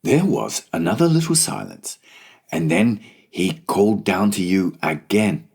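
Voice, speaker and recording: A man's voice: low-pitched, very animated, measured pace, creaky, darkish tone, husky. A man narrating a children's story as a scripted audiobook.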